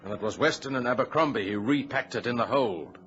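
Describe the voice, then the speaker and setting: An actor playing a deferential, uneasy ship's mate in an old-time radio drama. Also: male voice, mid-range voice, expressive, brisk, fairly bright tone, close to an everyday voice. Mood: insistent. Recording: muffled, noisy vintage radio recording.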